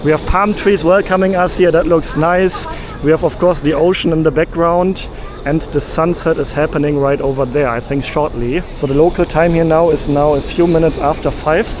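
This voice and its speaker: A man's voice: on the high side, fairly even intonation, moderate pace. A man from Germany making a casual travel vlog, thinking aloud with fillers as he walks through an airport and then outdoors. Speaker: travel vlogger. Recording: noisy background.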